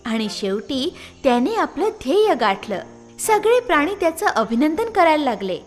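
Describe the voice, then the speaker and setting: A woman's voice: high voice, big pitch swings, normal speed, soft background music. A woman narrating scripted moral stories for children as a storyteller.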